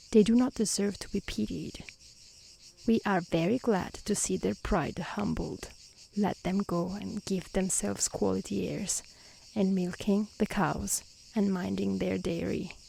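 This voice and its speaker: A woman with an Italian accent speaking softly and calmly in an ASMR style, choosing her words carefully as a non-native speaker, outdoors. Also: medium pitch, unhurried, gravelly, a little hiss or hum, low-quality recording. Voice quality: soft, gentle voice. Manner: calm, careful delivery in non-native speech. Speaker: streamer and storyteller. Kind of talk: soft-spoken ASMR reading and chat. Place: outdoors in nature near mountains.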